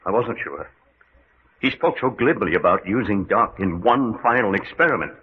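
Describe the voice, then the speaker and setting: A tough male adventurer , as a radio actor plays him, blunt and probing, with an animated, widely moving voice. The old mystery serial sound is dull with faint noise. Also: on the low side, normal speed, lightly acted. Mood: wary, probing. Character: tough, insistent male adventurer interrogating his captors.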